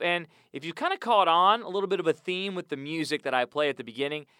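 A man's voice: on the high side, pushed and bright, clear, resonant tone, no echo, studio quality. A man hosting a sports podcast from a small audio booth, chatty and upbeat with rambling asides. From United States. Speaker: podcast host. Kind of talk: casual podcast intro and interview.

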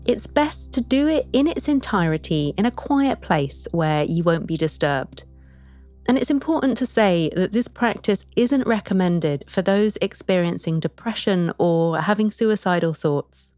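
Gentle woman host over soft music. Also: mid-range voice, animated, a little slow, no room sound. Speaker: podcast host. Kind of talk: scripted introduction.

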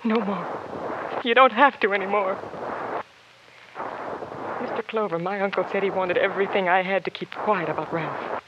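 An American actress playing a guilt-ridden, distraught young woman in a 1950s radio melodrama, pleading and halting. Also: medium pitch, close to an everyday voice. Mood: resigned, confessing. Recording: noisy, muffled old radio broadcast.

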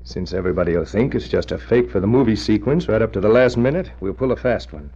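A man's voice: fairly low pitch, expressive, fast talker, dark tone, husky. An actor playing a smug, scheming gangster boss in an old radio drama, talking in cocky tough-guy slang. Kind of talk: 1940s radio acting. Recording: dull old radio recording.

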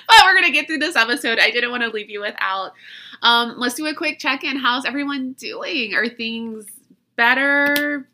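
A woman talks through a stuffy, allergy-clogged nose as she hosts her podcast. She is chatty and quick to laugh at herself, with a very bright, forward tone. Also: on the high side. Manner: chatty, laughs often, self-deprecating. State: congested from allergies. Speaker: podcast host. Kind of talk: casual chat.

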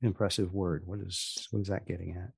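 A man hosting a podcast, putting questions to a guest in a deliberate, wry, halting conversational way. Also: deep voice, level tone, vocal fry, a little mellow, slightly rough, no room sound, studio quality. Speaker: podcast host interviewing a guest.

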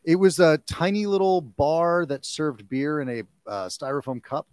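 A man in his forties, a guest actor in a casual chat, talking in an animated, jokey way. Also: a little high, clean tone, no echo, studio quality. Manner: animated and jokey, quick to laugh. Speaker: guest, actor and audiobook narrator.

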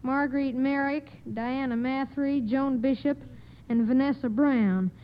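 A young American female panelist gives a measured, formal report on an old radio show. Her voice is very high, and the vintage recording is somewhat dull. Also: steady tone. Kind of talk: reading a prepared report on radio.

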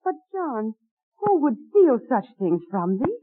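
Radio play casting: practical Quaker farm wife, high, animated voice, archaic phrasing, narrow old-time sound. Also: female voice, slow, background noise. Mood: concerned. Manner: questioning, theatrical, archaic Quaker speech. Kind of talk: mid-century American radio drama acting. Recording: tinny, band-limited vintage radio recording.

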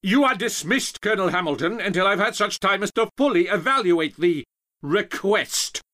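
A man's voice: high-pitched, animated, normal speed, bright, forceful tone, pitched above the performer's own voice. A man voicing a pompous, indignant middle-aged army general in audiobook dialogue, blustering as he talks. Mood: haughty, dismissive. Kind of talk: dramatised historical audiobook dialogue.

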